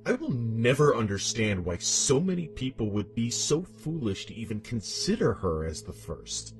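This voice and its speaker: A man's voice: mid-range voice, sing-song, normal speed, faint music behind, close to an everyday voice. A man voicing a scorned, vengeful demonic ex-wife in a horror monologue, haughty, bitter and theatrical. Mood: haughty contempt.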